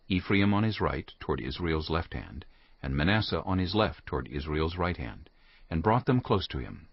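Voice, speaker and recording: Deep, husky male scripture narrator. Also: no echo. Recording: muffled lo-fi audio.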